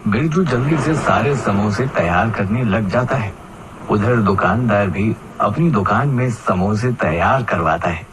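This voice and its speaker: A man's voice: lowish voice, expressive, a little slow, slight background noise. A man narrating a scripted children's cartoon story as its storyteller.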